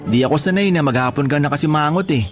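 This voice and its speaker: Actor as high-voiced, devoted boyfriend. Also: male voice, fast, close to an everyday voice. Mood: teasing, caring. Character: devoted, playful boyfriend, eager father-to-be. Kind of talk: Tagalog radio drama acting. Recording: music bed under dialogue.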